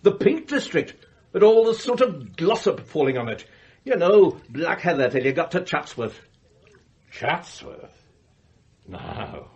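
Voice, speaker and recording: Slow, swooping, theatrical rich magnate. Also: male voice, a little high, big pitch swings, darkish tone, lo-fi sound. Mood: rhapsodic, haunted. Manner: rhapsodic, savouring, declamatory. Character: wealthy theatrical male magnate, morbidly eloquent about his grim past. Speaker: actor. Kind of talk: radio drama acting.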